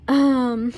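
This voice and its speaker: A woman's voice: sits high, dark tone. A young woman recording a casual video diary at home, her voice tired and congested while she is sick, rambling with lots of ums and small laughs. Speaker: vlogger.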